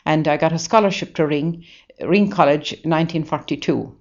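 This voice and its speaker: Low-voiced older Irish nun. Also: female voice. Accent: Irish, Waterford. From Ireland. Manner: earnest, halting, many restarts. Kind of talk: interview reminiscence. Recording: dull lo-fi recording.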